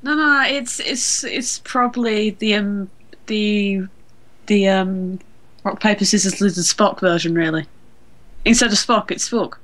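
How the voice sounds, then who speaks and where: A woman with a mid-range voice co-hosts a relaxed, informal chat. She chips in playfully with short asides and explanations. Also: medium pitch, a little slow, low-quality recording. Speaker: podcast co-host. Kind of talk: casual chat.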